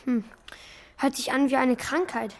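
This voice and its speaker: Curious schoolboy, high voice, hesitant, slangy, a bit worried, children's radio play. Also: female voice, a little slow.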